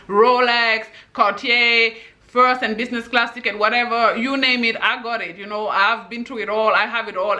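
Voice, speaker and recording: A woman in her thirties with a husky voice and a delivery, giving emphatic dating advice straight to camera and ending her points with "okay?". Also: mid-range voice, bright, forceful tone. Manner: emphatic, repeats "okay?" to drive points home. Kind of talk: direct-to-camera advice monologue.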